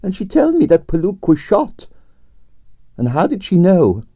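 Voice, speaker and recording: A man voicing a flamboyant aging Russian ballerina with a Russian accent and broken English, in a high, swooping, theatrical voice for an audiobook. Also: huge pitch swings, measured pace, dark tone, no echo, muffled recording, heavily acted. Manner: broken English, grand declarations.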